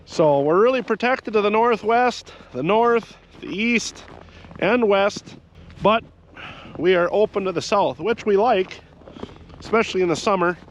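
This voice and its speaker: Congested farmer talking over engine hum. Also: male voice, high-pitched, a little slow, muffled recording. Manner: plainspoken, rambling, explains farm chores. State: congested from a head cold. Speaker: farmer vlogger. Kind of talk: casual vlog narration while working. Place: outdoors and in a tractor cab on a farm.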